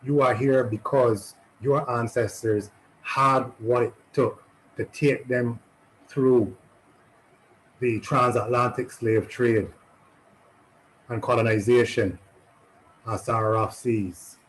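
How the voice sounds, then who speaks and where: A man speaking to an online audience after his poem, deliberate and encouraging. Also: mid-range voice, steady tone, low-quality recording. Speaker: poet.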